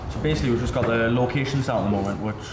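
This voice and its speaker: A man's voice: medium pitch, racing, a crowd in the background. A young man explaining his team's plans in a matter-of-fact way. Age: young adult. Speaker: participant. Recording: echoey room with music.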